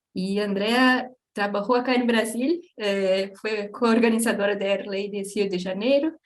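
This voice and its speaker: A woman hosting an online panel, introducing the speakers with many hesitant fillers. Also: mid-range voice. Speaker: event host.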